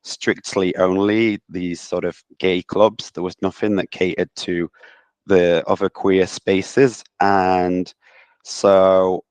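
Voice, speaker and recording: A man's voice: sits low, level tone, slow pace, a little mellow, clean tone, no room sound. A man hosting a podcast in casual interview chat, speaking loosely with many fillers and offering encouraging "yeahs.". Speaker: podcast host.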